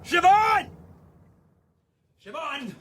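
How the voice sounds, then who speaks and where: An actor playing an anxious, restless veteran police detective in a radio drama. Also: male voice, very high voice, very animated, very slow, fairly bright tone, slight background noise, full character voice. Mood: frantic.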